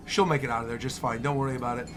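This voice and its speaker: A man's voice: mid-range voice, steady tone, very fast, loud music behind the voice. A man giving casual, effusive reaction commentary full of slangy exclamations, as the host of a video.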